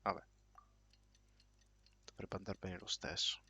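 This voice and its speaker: A man giving casual live commentary as a game streamer, muttering offhand in a husky, creaky voice. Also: low-pitched, level tone, unhurried, sharp tone. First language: Italian.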